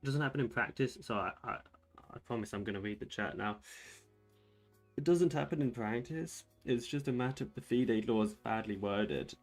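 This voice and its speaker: A young man streaming chess and chatting with viewers, soft-spoken and rambling with lots of fillers and restarts. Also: medium pitch, normal speed. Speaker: streamer reading chat. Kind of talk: casual livestream chat while playing chess. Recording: faint music behind the voice.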